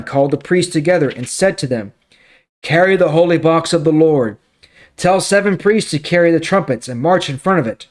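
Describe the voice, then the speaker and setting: A man hosting a Bible reading, speaking in a deliberate, emphatic storytelling way. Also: fairly high pitch, darkish tone. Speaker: host of a Bible reading series. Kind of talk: read-aloud scripture with casual commentary.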